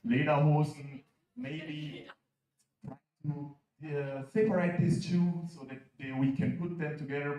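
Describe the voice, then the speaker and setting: A man with an Italian accent, presenting a new mascot to an assembly. He jokes around and stumbles over fillers. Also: a little high. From Italy. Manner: joking, halting with many fillers. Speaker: association president presenting a mascot. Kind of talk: stage announcement at an assembly. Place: large conference hall. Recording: echoey hall, distant mic, dull sound.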